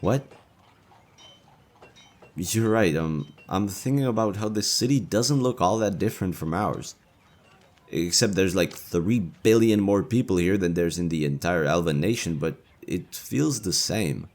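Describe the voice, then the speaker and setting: A man's voice: deep voice, a little slow, a little mellow. A man playing a young elven leader and playful, affectionate boyfriend in a romantic audio roleplay, talking in a casual, teasing way.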